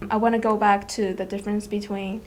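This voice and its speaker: A woman's voice: mid-range voice, little pitch movement, brisk, darkish tone, slight background noise. A teenage girl from China, a student, chatting casually and hesitantly with many fillers. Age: teens. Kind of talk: casual interview chat.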